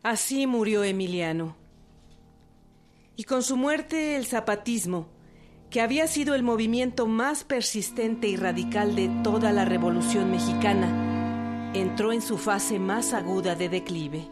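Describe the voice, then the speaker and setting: A woman's voice: mid-range voice, unhurried. A woman narrating scripted documentary history for a radio production. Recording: loud music bed.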